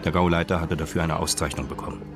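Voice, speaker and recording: An actor as the detached narrator of a radio crime drama, telling it in a measured, matter-of-fact way. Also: male voice, sits low, flat delivery, music in the background. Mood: detached narration. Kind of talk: scripted crime story narration.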